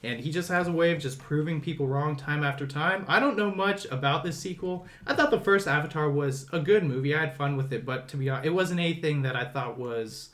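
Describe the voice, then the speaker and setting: A man's voice: fairly high pitch, brisk, hardly any pauses, a bit bright. Man hosting a casual film podcast. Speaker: podcast host.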